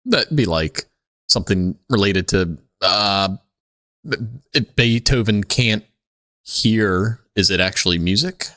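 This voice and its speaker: A man co-hosting a podcast with casual, comedic chat, rambling and halting as he tells stories. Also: on the low side, lively intonation, pushed and bright, dry recording. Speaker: podcast co-host.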